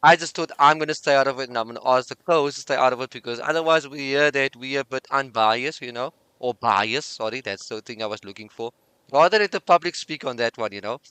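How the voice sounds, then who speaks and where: Very clear, dry-voiced man hosting a podcast. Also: mid-range voice, quick pace, no echo, low-quality recording. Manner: relaxed, chatty, thinks aloud with fillers. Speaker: podcast host. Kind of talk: casual sports podcast chat.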